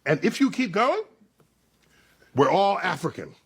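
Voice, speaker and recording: A man with a fairly high, rough-edged voice explains science conversationally. His pitch swings widely as he builds each point like a theatrical storyteller. He pauses for emphasis and checks that his listener follows. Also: sing-song, fairly bright tone.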